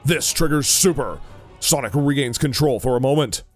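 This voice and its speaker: Male narrator, medium pitch, scripted third-person storytelling for audio drama, faint music beneath. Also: moderate pace.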